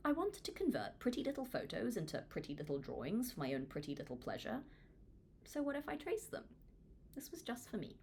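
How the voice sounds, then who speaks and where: A woman from Hong Kong reading a book aloud in an expressive, informal, self-deprecating way, like a read-along. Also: medium pitch, brisk, a little hiss or hum. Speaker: author reading their own book aloud. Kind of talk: audiobook read-along with casual asides.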